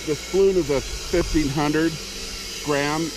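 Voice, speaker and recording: A man's voice: fairly high pitch, steady tone, slow, low-quality recording. A man explaining a hands-on technical job in casual conversation, as an instructor. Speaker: balloon launch instructor.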